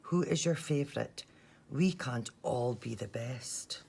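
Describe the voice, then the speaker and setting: A woman's voice: very deep voice, slow pace, gravelly, a little mellow, breathy edge, heavily acted. A woman voicing small, anxious baby bears from a children's storybook in a wondering, plaintive, childlike manner. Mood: worried, plaintive.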